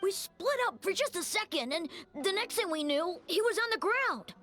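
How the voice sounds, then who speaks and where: A woman's voice: very high voice, very animated, normal speed, fairly bright tone, soft background music, heavily acted. A woman playing an anxious, frightened youngster in a video game. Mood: shaken, frightened. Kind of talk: scripted video game voice acting.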